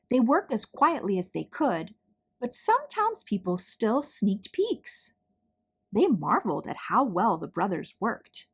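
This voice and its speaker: Slow, animated woman reading a children's story. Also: medium pitch, husky. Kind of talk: expressive read-aloud of a picture book.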